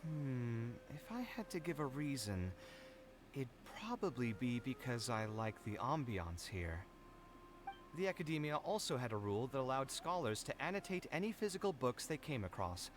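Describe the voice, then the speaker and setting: Man acting an expressive young architect game character. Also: medium pitch, normal speed, noisy background, lightly acted. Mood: nostalgic. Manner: articulate, theatrical, expressive. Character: young male architect, wistful, expressive, friendly. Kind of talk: video game character dialogue.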